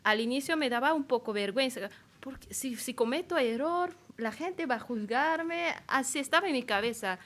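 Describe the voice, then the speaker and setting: A woman's voice: high-pitched, expressive, normal speed, hardly any pauses, a bit bright. A young woman from Madagascar speaking a language that is not her first, telling a personal story at a colloquium, hesitant and searching for words. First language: not Spanish. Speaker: panel speaker. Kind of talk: personal account at a public colloquium.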